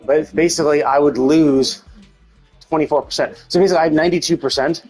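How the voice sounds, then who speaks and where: A man streaming a game live, talking casually and haltingly as he works through numbers to himself. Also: on the high side, steady tone, a little mellow, faint music behind, rough recording. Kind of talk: casual gaming stream commentary, thinking aloud.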